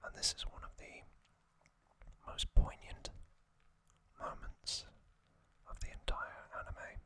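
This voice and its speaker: A man narrating in a breathy ASMR whisper, delivered in short, deliberate phrases as a storytelling host. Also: pushed and bright.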